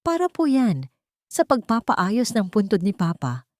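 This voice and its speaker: A woman's voice: medium pitch, big pitch swings, a little slow, darkish tone, no echo, voice pushed up. A meek daughter-in-law in a melodramatic story, played by a woman narrator. Her voice is lifted and pleading, polite yet holding back hurt and anger. It sounds dry and close.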